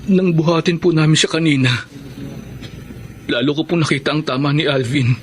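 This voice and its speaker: A man's voice: fairly high pitch, fairly quick, slight background noise, lightly acted. An actor playing an earnest, devout young man in a Filipino radio drama, speaking with heartfelt, respectful emotion and a Filipino accent. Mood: tearful, grave. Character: earnest adult older brother, a pianist, tearfully devout. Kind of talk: Filipino radio drama acting and first-person narration.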